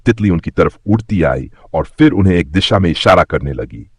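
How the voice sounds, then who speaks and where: A man's voice: low voice, expressive, normal speed, breathy edge, no echo. A man narrating a scripted moral story for children as a storyteller.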